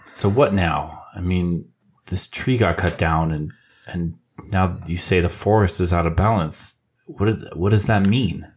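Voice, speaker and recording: Husky, low-voiced man player. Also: unhurried, hardly any pauses. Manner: conversational, searching for words. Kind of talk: in-character tabletop roleplay.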